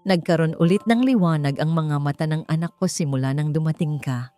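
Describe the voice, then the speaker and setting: A woman's voice: low voice, a little fast, mellow, lightly acted. A Filipino-accented woman voicing a gracious, affectionate mother in her sixties in a romance story. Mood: warm, welcoming. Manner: gracious, tender, welcoming. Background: background music.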